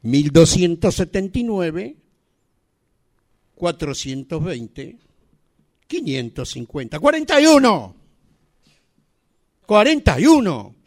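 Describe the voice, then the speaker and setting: A man performs a declaiming exercise. His voice sits high and moves around a great deal. The delivery is emphatic, broken by long pauses. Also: big pitch swings. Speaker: radio drama workshop participant. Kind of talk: acting exercise, declaiming numbers and colours.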